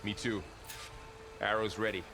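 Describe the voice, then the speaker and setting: An actor playing a loyal, wry warrior companion in an adventure game, in a natural, straightforward manner. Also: male voice, fairly low pitch, little pitch movement, a little slow, noisy background. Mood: wry, practical. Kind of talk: naturalistic video game acting. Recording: loud water and game sound effects.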